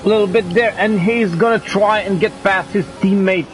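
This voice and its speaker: A man with a cold commentating a sim racing league broadcast, rising in pitch when the action heats up. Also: steady tone, normal speed, a little hiss or hum, poor audio. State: has a cold. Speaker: race commentator.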